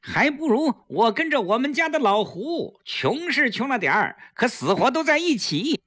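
A male storyteller voicing a quick-witted, emotional young woman who cries out and scolds in a raised falsetto. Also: sing-song, exaggerated voice. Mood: scolding. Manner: shrill pleading cries, then hushed urgent asides.

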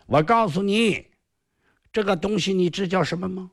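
A man voicing a boastful, cunning male martial artist with sorcery tricks, in a shrill sing-song drawl. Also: normal speed, gravelly, a bit bright, full character voice. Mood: scheming. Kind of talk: traditional storytelling performance.

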